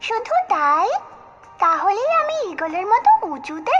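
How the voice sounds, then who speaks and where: A very high tiny sparrow chick. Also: female voice, very animated, unhurried, highs cut off, exaggerated voice. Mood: eager. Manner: childlike, pleading and wondering. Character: tiny young sparrow chick, small and unsure of himself. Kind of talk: Bengali cartoon dubbing. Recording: background music under the voice.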